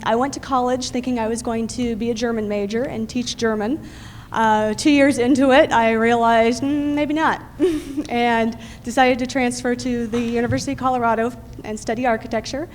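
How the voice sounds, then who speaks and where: A woman in her thirties from California, an architect introducing herself in a friendly, lightly humorous way. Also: on the high side. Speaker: architect, panelist. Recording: hall reverb, faint noise.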